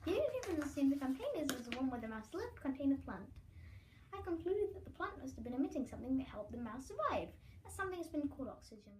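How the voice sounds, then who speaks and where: A woman's voice: high-pitched, big pitch swings, fast talker, heavy background noise. A young woman playing a male chemist being interviewed on a mock news show, explaining in a matter-of-fact way. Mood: earnest.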